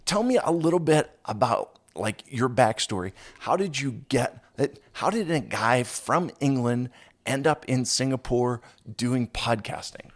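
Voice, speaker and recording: A man hosting a podcast while hoarse from a cold, talking in an upbeat, self-deprecating, joking way. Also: medium pitch, animated, a little slow. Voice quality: raspy, gravelly. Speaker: podcast host. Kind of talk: casual interview chat.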